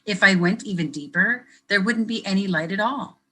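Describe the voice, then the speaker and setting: A woman educator reading a picture book aloud to children, pausing to ask them questions in a gentle, expressive way. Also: medium pitch, slightly rough. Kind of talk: children's read-aloud with teacher commentary.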